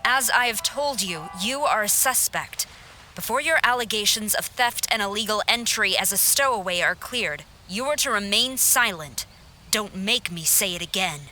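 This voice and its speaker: A woman's voice: mid-range voice, expressive, moderate pace, sharp tone, soft background music. A woman playing a stern young military general in a video game, formal and authoritative. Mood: stern, warning. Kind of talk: video game cutscene acting.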